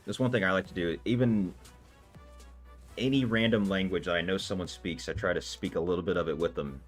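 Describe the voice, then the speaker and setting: Low-pitched man, laid-back livestream chatter, talks to viewers, soft music behind. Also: fairly quick. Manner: loose and chatty, lots of "like" and "dude". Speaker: livestream gamer. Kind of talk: casual livestream chat answering viewers. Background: faint background music.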